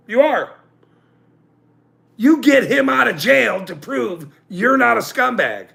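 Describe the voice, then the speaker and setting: A man in his forties hosting a livestream, ranting angrily with profanity and loud outbursts, then joking about himself. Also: very high-pitched, creaky. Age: 40s. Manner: angry, profane, with loud outbursts and self-mocking laughs. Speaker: channel host. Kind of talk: livestream rant.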